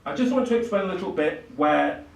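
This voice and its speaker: An older man giving a literary lecture in a halting, hesitant way, with frequent pauses. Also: high voice, lively intonation, vocal fry. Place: small indoor lecture room with seated audience. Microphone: far camera, speaker at a lectern. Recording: roomy, distant microphone in a hall.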